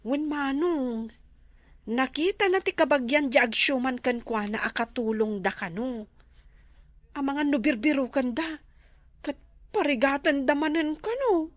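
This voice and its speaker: Casting: helpful young waitress friend, high voice, concerned and inquisitive, radio drama. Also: female voice, expressive, unhurried, long pauses, no echo. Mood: worried. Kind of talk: Filipino radio drama acting.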